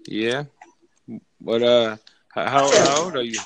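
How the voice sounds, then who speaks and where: A man's voice: medium pitch, animated, takes it slowly, slight background noise. An American man in his thirties with an American accent, chatting casually and giving friendly advice, often calling the other man "man". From United States. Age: 30s. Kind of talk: casual conversation.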